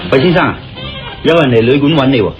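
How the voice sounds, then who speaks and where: Casting: male actor for obliging hotel attendant, radio drama, Hong Kong Cantonese, rattling lines off very fast over music. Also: medium pitch, dull audio, natural voice. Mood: hurried, deferential. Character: hurried, deferential hotel attendant, a man.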